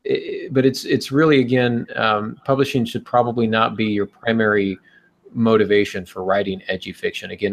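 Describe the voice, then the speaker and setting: A man with a fairly low-pitched voice speaks as a podcast guest. He offers writing advice conversationally, thinking out loud and taking the long way round to each point. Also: creaky. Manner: long-winded, thinking aloud, mimics hypothetical speakers.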